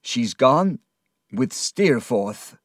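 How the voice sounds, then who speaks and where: A man voicing a shaken, grieving young fisherman who speaks slowly and haltingly in a told story. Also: mid-range voice, very animated, no room sound, clean studio sound, pitched above the performer's own voice, full character voice. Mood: quiet, heavy. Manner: slow, heavy, halting.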